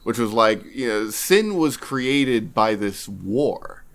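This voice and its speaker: A man co-hosting a podcast in casual discussion, speaking in a measured, halting way as he thinks aloud. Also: fairly low pitch, lively intonation, fairly bright tone. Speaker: podcast co-host.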